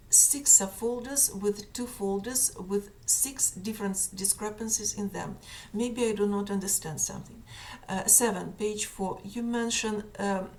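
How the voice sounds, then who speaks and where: A woman with a strong Russian accent and Russian as her native language, reading out a numbered list of objections in a halting, methodical and pointed way, with many fillers. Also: mid-range voice, far from the mic. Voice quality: halting, many fillers, careful deliberate phrasing. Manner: methodical, pointed, slightly sarcastic. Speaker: complainant reading a list of errors.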